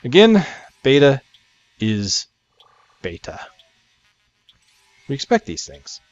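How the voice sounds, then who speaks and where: A man's voice: medium pitch, wildly swooping pitch, takes it slowly, dry recording. A man giving casual walkthrough commentary on a video game, as the narrator of a gaming video. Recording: slightly dull mic, game music behind. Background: video game music.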